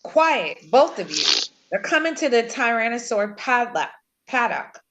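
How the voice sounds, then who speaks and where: A woman's voice: a little high, very animated, a little slow, heavy background noise. A woman reading the part of an irritable, overworked chief engineer in a script table read, curt and businesslike. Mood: stern.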